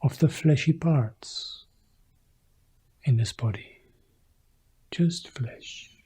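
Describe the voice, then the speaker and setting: A man leading a guided Buddhist meditation as a teacher, hushed and pausing between short phrases. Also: mid-range voice, slightly rough.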